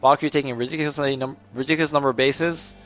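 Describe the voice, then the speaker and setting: A hoarse young man doing solo play-by-play commentary on a game, chatty and rambling, jumping into excited bursts. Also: mid-range voice, rapid-fire, muffled recording. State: losing his voice, a little sick. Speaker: esports caster. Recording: music playing underneath.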